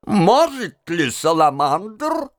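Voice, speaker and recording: A hot-headed, tipsy registrar out of a classic storybook, performed by a male reader. He declaims loudly in a raised, high voice with sweeping intonation. Every phrase is emphatic and boisterous. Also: big pitch swings, extremely slow, no room sound, clean studio sound, exaggerated voice. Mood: heated, tipsy. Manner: loud, emphatic, toasting and declaiming. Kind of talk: dramatized audiobook reading of a classic tale.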